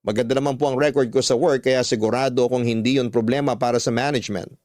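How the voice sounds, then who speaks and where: A man playing an earnest first-person narrator of his love story in a Tagalog radio drama, measured and reflective. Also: medium pitch, fairly even intonation, darkish tone, no room sound, studio quality, natural voice. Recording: music under the voice.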